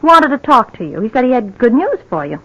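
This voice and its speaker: An actress in an old-time radio drama playing a loving, fragile young wife, tender and solicitous. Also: female voice, on the high side, very animated, brisk, lo-fi sound. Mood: cheerful.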